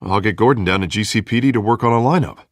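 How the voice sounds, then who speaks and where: An actor plays a male politician on the campaign trail in a video game. His voice is low and closely recorded with no room sound. He comes across earnest, affable and a little harried. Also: fast talker, dry recording, studio quality, natural voice.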